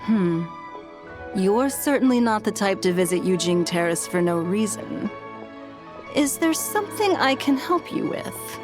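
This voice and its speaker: A woman's voice: mid-range voice, sing-song, measured pace, over music. A woman playing a composed, elegant leader in a video game, poised, formal and unruffled. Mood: gently teasing.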